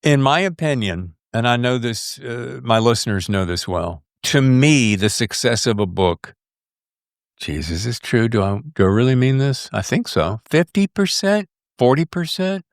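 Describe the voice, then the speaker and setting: A man from the US South hosting a podcast in casual interview chat, animated and rambling with folksy slang. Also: mid-range voice, moderate pace, clear, resonant tone, no room sound, studio quality. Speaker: podcast host.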